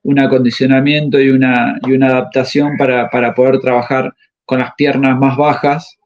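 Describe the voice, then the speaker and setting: A man, a tennis coach answering interview questions and pausing often to find his words. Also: mid-range voice, level tone. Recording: slightly muffled audio.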